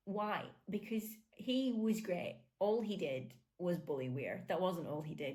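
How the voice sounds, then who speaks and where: Woman just chatting away, lowish voice, opinionated, kinda animated, asks herself lots of questions. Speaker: book club reader and reviewer. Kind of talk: casual chat, rambling book reaction.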